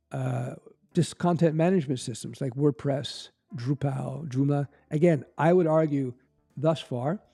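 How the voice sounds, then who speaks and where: A man's voice: a little high, warm tone. A middle-aged Canadian man hosting a casual livestream, answering chat questions in a rambling, conversational way. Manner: rambling, conversational, fillers and restarts. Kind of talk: casual live-stream chat.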